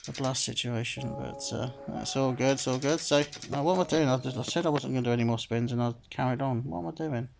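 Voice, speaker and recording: A man's voice: medium pitch, quick pace, gravelly, few pauses, background noise. A man casually commenting while playing a slot game, rambling and filling gaps with "sort of" and "like I say", sounding a bit phlegmy. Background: game music.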